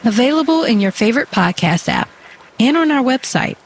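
A woman host reading a short scripted radio segment with an even, polished delivery. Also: a little high, a little fast, a little hiss or hum.

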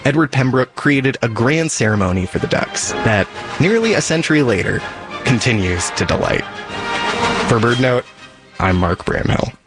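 A man reads a scripted radio sign-off in a mid-pitched, measured voice. Music plays clearly beneath him. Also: lively intonation. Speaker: radio show host.

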